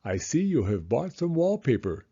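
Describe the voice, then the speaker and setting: A man's voice: medium pitch, very animated, normal speed, mellow, husky, lo-fi sound. Jovial family friend, acted by a male reader: folksy, middle-aged, hearty, swinging intonation. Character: hearty middle-aged man, father's old college friend.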